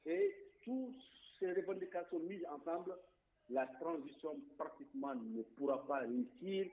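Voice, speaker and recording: A man's voice: a little high, big pitch swings, normal speed. Outspoken man on a tinny phone line. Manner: outspoken, emphatic, repeats words while thinking. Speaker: diaspora representative guest. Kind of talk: political radio discussion.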